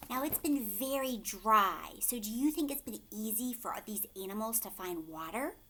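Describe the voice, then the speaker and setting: A woman teacher reading a storybook aloud to children, expressive, emphatic on key words and often asking questions. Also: medium pitch, moderate pace, slight background noise.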